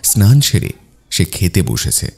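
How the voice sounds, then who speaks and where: A man's voice: low voice, huge pitch swings, measured pace, gravelly, warm tone. A man narrating a cartoon story as a scripted storyteller.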